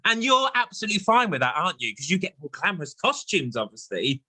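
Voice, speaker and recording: A man's voice: high voice, sing-song, fast talker, bright, forceful tone, husky. An English man hosting a casual chat interview in a chummy, teasing manner. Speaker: host, interviewer.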